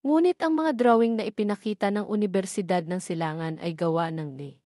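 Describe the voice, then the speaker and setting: A woman voicing an earnest, tender male comic artist in an audio drama, speaking in a formal, measured way. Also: medium pitch, warm tone, no room sound, studio quality, close to an everyday voice.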